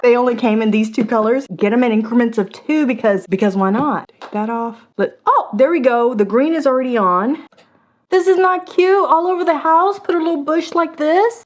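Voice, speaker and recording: A middle-aged woman, hosting a casual product review vlog in a chatty, animated way, a little hoarse. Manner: chatty and animated, with gasps and big jumps in pitch.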